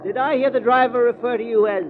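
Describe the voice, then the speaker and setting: Pompous, talkative executive, high voice, formal; old-time radio acting, tinny noisy recording. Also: male voice, steady tone, fast. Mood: pompous, chatty. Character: self-important, chatty male finance company president.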